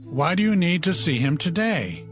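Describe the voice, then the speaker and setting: A man's voice: on the high side, big pitch swings, moderate pace, faint music behind. A man playing a practical, questioning farmer husband in a dramatized scripture audiobook. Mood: puzzled.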